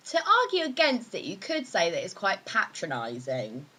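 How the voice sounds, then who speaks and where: A young woman giving her opinion as an interviewee in a casual, halting way. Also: fairly low pitch, huge pitch swings, sharp tone.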